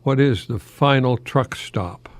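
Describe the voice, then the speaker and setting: An older American man hosting a folksy solo radio talk in a halting, rambling, easily amused manner. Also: medium pitch. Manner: chuckles often at his own remarks, halting and rambling. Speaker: radio host, longtime English professor.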